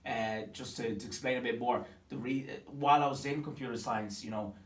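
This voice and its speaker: A man in his twenties from Tanzania, telling his story in a casual interview, animated and full of fillers and restarts. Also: medium pitch, a little fast. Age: 20s. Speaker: business owner interviewed. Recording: echoey room, mic at a distance, faint ambience.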